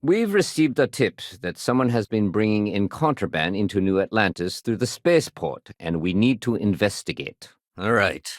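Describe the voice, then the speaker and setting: A man playing a steady, dutiful security sergeant in a video game, speaking conversationally with official firmness and dry wit. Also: on the low side, moderate pace, few pauses, no echo. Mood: instructing. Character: steady, dutiful male spaceport security sergeant, dryly wry.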